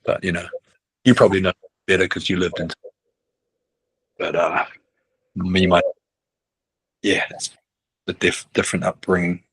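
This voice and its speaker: A man's voice: low voice, flat delivery, measured pace, long pauses, fairly bright tone, husky, rough recording. A middle-aged man, a basketball coach chatting casually in an interview, rambling with many restarts and tag questions. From New Zealand. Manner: rambling, restarts often, ends with "right?".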